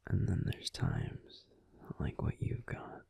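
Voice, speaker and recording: Casting: male actor for a tender, grumpy young werewolf boyfriend in a bedtime audio roleplay, whispered, soothing, very slow delivery. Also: natural voice. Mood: soothing, drowsy. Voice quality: breathy whisper. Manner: gentle, soothing, unhurried reassurance with pauses. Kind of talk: whispered ASMR sleep-aid roleplay. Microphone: close, dry microphone.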